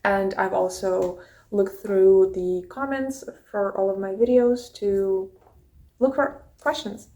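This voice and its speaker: Slow-talking young Russian-accented woman. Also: mid-range voice, steady tone, dark tone. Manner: unhurried, conversational, answers questions from notes. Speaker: YouTuber. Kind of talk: casual get-to-know-me chat. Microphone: phone.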